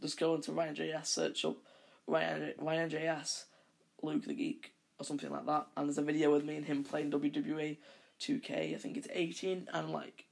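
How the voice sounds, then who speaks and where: A man hosting a casual podcast who rambles through his monologue. His voice is croaky, and he keeps correcting himself. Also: fairly high pitch. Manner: rambling, with frequent self-corrections. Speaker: podcast host.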